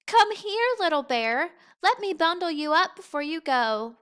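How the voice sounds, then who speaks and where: A woman's voice: very high-pitched, big pitch swings, a little slow, a bit bright, pitched above the performer's own voice, heavily acted. A woman voicing a caring, playful mother bear in a storybook, with a fond, coaxing manner. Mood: fussing.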